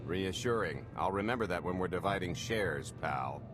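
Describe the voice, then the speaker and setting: Cocky, low-voiced roguish smuggler. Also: male voice, expressive, normal speed, noisy background. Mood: wry. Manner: wry, glib, swaggering banter. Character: cocky roguish male smuggler pitching a heist. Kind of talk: cinematic video game voice acting. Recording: music behind.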